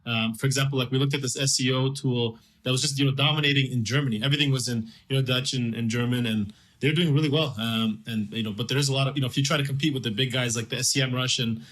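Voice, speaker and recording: Fast-talking male podcast host. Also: medium pitch, fairly even intonation, a bit bright. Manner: quick, halting, frequent restarts. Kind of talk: interview conversation.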